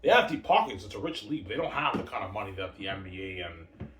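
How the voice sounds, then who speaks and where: A man's voice: sits low, big pitch swings, fast talker, a bit bright, distant mic. A man talking to himself in a rambling solo monologue, repeating words and filling gaps with "like" and "man". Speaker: solo vlogger. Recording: echoey room, voice off-mic with faint background noise.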